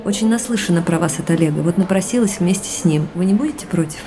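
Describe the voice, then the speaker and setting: A woman's voice: fairly low pitch, fast, noisy background, lightly acted. An actress playing an articulate, self-assured young woman with an informal manner in an audio drama. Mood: confident, thoughtful. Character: articulate, lively young woman, opinionated reader and friend.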